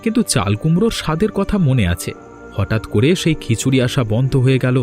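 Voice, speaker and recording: A man's voice: medium pitch, big pitch swings, quick pace, a little mellow, lightly acted. A man playing an earnest, grateful young man in a moral-tale cartoon, speaking with dramatic emphasis. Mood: wistful, curious. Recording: music behind the voice.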